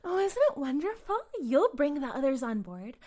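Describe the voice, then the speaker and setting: A woman playing a possessive, self-righteous cult leader in an intimate audio roleplay, cooing and condescending, sometimes breathy, speaking directly to the listener. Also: high-pitched, big pitch swings, moderate pace, darkish tone. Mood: rapturous, self-satisfied. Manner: theatrical, cooing, condescending, drifting into breathy whispers.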